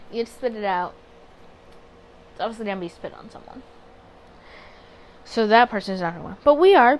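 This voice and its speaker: A woman with a mid-pitched voice commentates on a story game over audible background noise. She is chatty and expressive, moving between reading narration aloud and thinking through her reactions. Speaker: gaming video creator. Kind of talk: casual playthrough commentary mixed with reading story text aloud.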